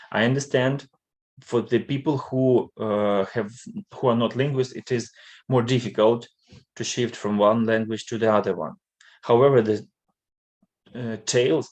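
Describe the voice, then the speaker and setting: A man from Western Ukraine, a native Ukrainian speaker, giving a hesitant live-streamed presentation in English with frequent pauses and fillers. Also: mid-range voice. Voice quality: linguist.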